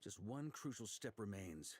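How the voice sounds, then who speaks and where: A man's voice: lowish voice, animated, moderate pace, slight background noise, close to an everyday voice. A man playing a confident, easygoing young knight commander in an anime game, speaking in an articulate, theatrical way. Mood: confident, explaining.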